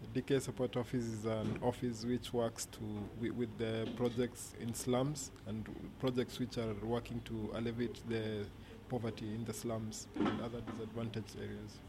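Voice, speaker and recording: Kenyan man, project officer, formal and monotone, clearly noisy recording. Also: on the low side, moderate pace. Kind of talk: formal self-introduction. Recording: clear background noise.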